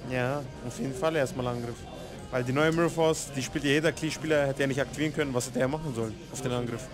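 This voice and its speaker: A young man co-commentating a card game while feeling under the weather, making quiet, thoughtful remarks. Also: mid-range voice, steady tone, fast talker, over music. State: under the weather, low energy.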